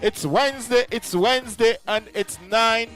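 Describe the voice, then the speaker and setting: A man's voice: very high-pitched, measured pace, a bit bright. A man hosting a radio show, hyping up the audience with repeated phrases and greetings. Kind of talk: hype show intro patter. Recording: music playing behind the voice. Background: music.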